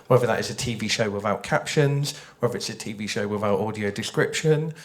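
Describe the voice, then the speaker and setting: Guy on a panel, voice a bit low, thoughtful, picks his words as he goes. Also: fairly quick. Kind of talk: panel discussion at a festival.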